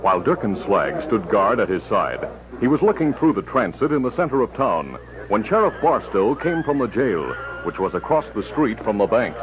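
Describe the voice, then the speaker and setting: A man's voice: lowish voice, sing-song, moderate pace. A man reading scripted narration for a 1950s radio drama. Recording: dull old radio recording, music behind.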